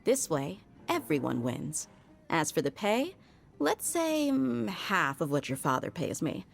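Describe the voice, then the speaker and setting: A woman's voice: fairly low pitch, very animated, measured pace, vocal fry, faint music behind, heavily acted. An actress playing a tough, principled woman mercenary bodyguard in a game, blunt and casual in manner. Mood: easygoing. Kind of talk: game voice acting.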